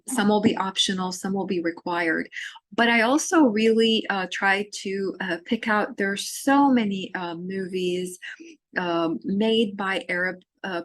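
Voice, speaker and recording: A woman from Syria giving a recorded course lecture, talking in a halting way with many fillers and restarts. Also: medium pitch, dry recording. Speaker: literature lecturer.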